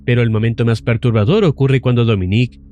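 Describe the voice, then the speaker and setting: A man's voice: mid-range voice, fairly even intonation, quick pace, mellow. A man narrating a scripted, suspenseful recap of a horror series as an explainer video host. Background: faint music.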